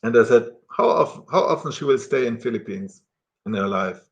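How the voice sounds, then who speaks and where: A middle-aged German man talking casually to camera in halting, self-correcting English with a German accent. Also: medium pitch, lively intonation.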